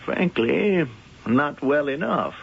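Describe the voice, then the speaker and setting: Suave, amused professor. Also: male voice, on the high side, lively intonation, slow, creaky, husky, full character voice. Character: suave male professor, cat expert, secretive. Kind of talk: 1970s radio acting. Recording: lo-fi old radio broadcast with hiss.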